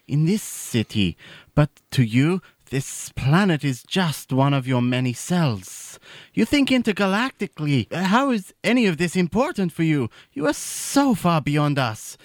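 A man's voice: a little high, big pitch swings, a little slow, no room sound. A man voicing a stern, exasperated pet superhero, declaiming emphatically in a comedic audio drama.

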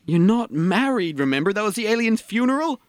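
A man's voice: sits high, sing-song, fairly quick, no echo, studio quality. A man playing a casual, bossy friend in a comedic audio drama. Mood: casual, grumbling. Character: DM, casual, bossy, high-voiced game-running friend.

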